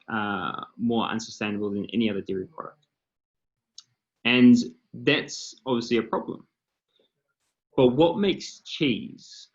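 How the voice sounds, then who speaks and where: A man's voice: medium pitch, fairly bright tone, highs cut off. A man with a New Zealand accent, a company founder presenting on a video call panel, explaining steadily with frequent ums and uhs. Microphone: computer mic on a video call.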